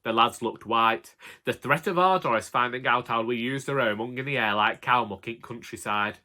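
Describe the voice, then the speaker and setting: A man with a Northern English accent tells a comic first-person story in a bright, forward voice. He plays a put-upon older husband, chatty and colloquial, with wry exasperation. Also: medium pitch, normal speed. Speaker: audiobook narrator.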